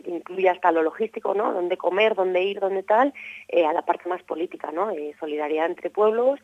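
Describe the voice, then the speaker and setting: A woman's voice: lowish voice, no room sound. A woman with a Castilian Spanish accent answers interview questions as a political activist, speaking discursively and hesitantly, often ending phrases with a questioning tag. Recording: muffled narrow phone-line sound.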